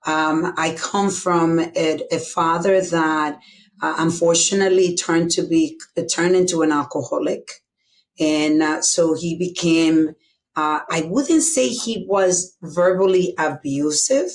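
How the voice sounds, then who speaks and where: A woman's voice: deep voice, a little slow. A middle-aged woman from the Dominican Republic with a Dominican Spanish accent, a guest telling her life story openly and reflectively, with many fillers. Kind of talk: candid personal storytelling.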